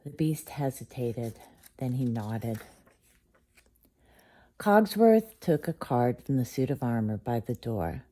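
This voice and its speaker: A woman's voice: very deep voice, sing-song, slow, long pauses, mellow. A woman reading a children's bedtime story aloud as storyteller.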